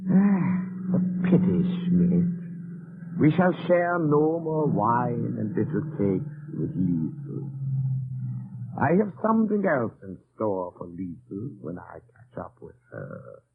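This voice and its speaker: An actor playing a cruel, mocking military commandant in an old radio drama, with a commanding, menacing manner. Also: male voice, lowish voice, wildly swooping pitch, takes it slowly, creaky, phone-quality sound, exaggerated voice. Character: cruel, mocking Nazi camp commandant, adult man. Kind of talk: 1950s radio drama acting. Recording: scratchy, noisy old radio broadcast.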